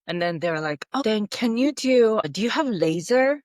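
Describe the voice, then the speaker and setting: A woman's voice: mid-range voice, animated, normal speed, a little mellow, dry recording, clean studio sound. A Japanese-born woman, a veterinary dermatologist, talking in a casual interview, hesitant and searching for words with many fillers.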